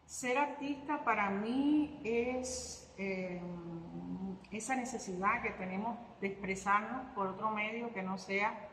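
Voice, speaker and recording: Cuban woman artist, thoughtful and halting, recorded in a roomy hall with faint noise. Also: medium pitch, a bit bright. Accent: Cuban Spanish. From Cuba. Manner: reflective, with hesitant fillers and restarts. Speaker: guitarist and artist interviewee. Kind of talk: conversational interview answers.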